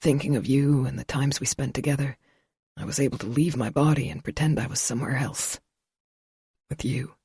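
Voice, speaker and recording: A woman voicing a gruff young father just out of prison, speaking low and subdued in a husky voice. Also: fairly even intonation, normal speed, warm tone, no room sound, clean studio sound, full character voice. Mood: tender. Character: gruff young adult man, ex-convict father. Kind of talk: audiobook character voice.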